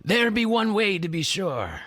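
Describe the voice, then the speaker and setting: A man voicing a sly, shady sea captain with piratical slang and a husky, growly voice in an audio drama. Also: high-pitched, sing-song, unhurried, a bit bright, dry recording, studio quality, exaggerated voice. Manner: piratical slang, "aye" and "arr".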